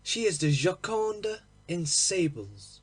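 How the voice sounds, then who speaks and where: A man plays an urbane, inquisitive storybook gentleman with a witty streak. His voice is fairly high and breathy, suave and emphatic, swooping widely in pitch. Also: big pitch swings, slow, exaggerated voice. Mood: urbane, eager.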